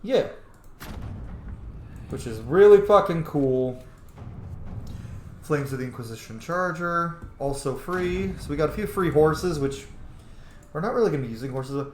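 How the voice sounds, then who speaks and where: A man's voice: fairly high pitch, animated, a little slow, pauses often. A man giving casual, joking and sometimes profane commentary while playing a roleplaying game. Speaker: game player and host of a roleplay playthrough. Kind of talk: casual gameplay commentary, reading in-game messages aloud. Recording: roomy echo, faint background noise.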